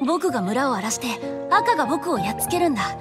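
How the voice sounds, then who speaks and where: Storybook blue oni, young, self-sacrificing, earnest, with lively high-pitched delivery. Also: female voice, brisk, over music. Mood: earnest, scheming.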